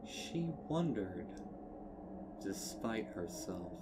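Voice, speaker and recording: A narrator reading a dark horror story in a grave, deliberate manner, with phrases trailing into breathiness. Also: low-pitched, sing-song, heavy background noise. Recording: distant mic under loud ambient sound.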